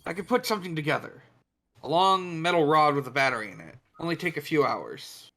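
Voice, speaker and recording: A man playing a practical, gruff spaceship tinkerer in a sci-fi script table read. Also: a little high, expressive, brisk, fairly bright tone. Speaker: performer in a podcast table read.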